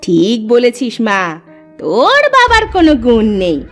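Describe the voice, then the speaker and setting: Casting: actress as scolding cartoon village mother, scornful and bossy, highly animated delivery on the high side. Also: unhurried, faint music behind, heavily acted. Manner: nagging, scornful. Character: sharp-tongued, scolding village wife and mother. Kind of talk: exaggerated Bengali cartoon voice acting.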